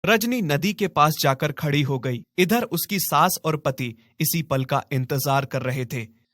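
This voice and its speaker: A man's voice: fairly high pitch, measured pace, a bit bright, dry recording, studio quality. A man narrating a scripted moral story as a storyteller.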